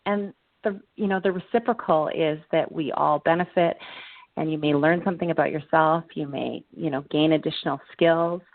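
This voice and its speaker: A woman gives conversational interview answers in a low voice. She sounds earnest and reflective, measuring out her phrases. The sound is dry and very close, with no room around it. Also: normal speed, no room sound, phone-quality sound. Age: 40s. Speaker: interview guest, entrepreneur.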